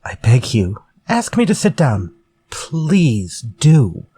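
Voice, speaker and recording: Sinister gentleman killer male actor, amused and courteous, leisurely delivery, mid-pitched, dry vintage radio drama. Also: sing-song, takes it slowly, slightly rough, no room sound, heavily acted. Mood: mock-polite, amused. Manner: drawling, mock-polite, amused. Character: suave, teasing, sinister male murderer intruder. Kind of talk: retro radio drama acting.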